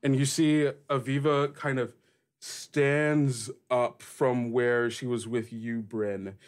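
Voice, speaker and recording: Slow-paced man running a tabletop game. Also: mid-range voice, steady tone. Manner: casual, halting descriptions. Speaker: game master. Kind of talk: improvised narration of a tabletop game.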